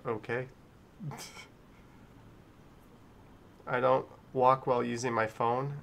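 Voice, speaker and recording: A man hosts a live stream in an easygoing, conversational way, moving between commentary and reading viewers' messages aloud. His voice sits at an average pitch, with some faint ambient noise behind it. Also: flat delivery, unhurried, gravelly, fairly bright tone. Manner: chatty, informal, reads out viewer messages. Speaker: livestream host.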